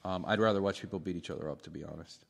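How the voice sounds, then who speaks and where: An American man co-hosting a podcast, giving blunt opinions in casual, halting banter. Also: deep voice, gravelly. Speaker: podcast co-host. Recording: echoey room with long reverb.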